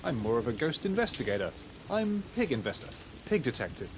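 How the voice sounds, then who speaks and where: A man's voice: mid-range voice, sing-song, a little fast, noisy background. A man voicing a mild, curious pig detective in a video game, with a hesitant, inquisitive way of talking. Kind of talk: video game character dialogue.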